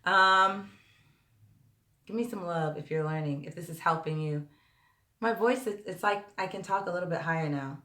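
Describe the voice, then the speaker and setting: Hoarse low-voiced woman vocal coach. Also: normal speed, pauses often, bright, forceful tone. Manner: chatty, direct, addresses viewers as y'all. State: hoarse, tired voice. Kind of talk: live-stream vocal lesson and Q&A. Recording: roomy echo.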